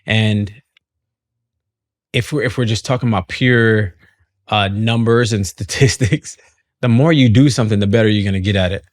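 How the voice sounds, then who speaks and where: Low-voiced man, relaxed and halting. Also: dry recording, clean studio sound. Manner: relaxed, hesitant, laughs often. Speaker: guest singer. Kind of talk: conversational interview.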